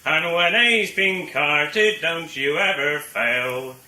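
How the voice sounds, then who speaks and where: A man's voice: a little high, slow, pushed and bright, roomy sound, heavily acted. An actor playing a hearty country man with a rural English accent, giving out an old ale song in a radio play. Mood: reminiscing, jovial. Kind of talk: folk singing in a radio play.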